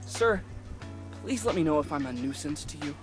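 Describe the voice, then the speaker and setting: A man's voice: a little high, lively intonation, moderate pace, natural voice. An actor playing an earnest, devoted young man who speaks politely and eagerly in a game. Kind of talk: game voice acting. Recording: game audio with music behind.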